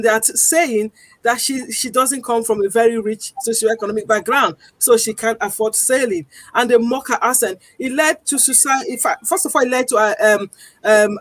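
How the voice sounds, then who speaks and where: A woman with a Nigerian accent speaks earnestly and deliberately, her voice pitched a little high. She pauses often to gather her words, and the recording is dry and close. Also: no room sound. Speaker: guest. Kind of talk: panel discussion.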